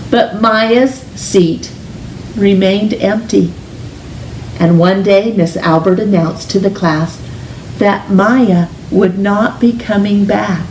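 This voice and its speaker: A woman reading a children's picture book aloud in an expressive, gentle, deliberate storytelling manner, with drawn-out emphasis on words. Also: medium pitch. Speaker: storytime reader, teacher. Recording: roomy, distant mic with faint hiss.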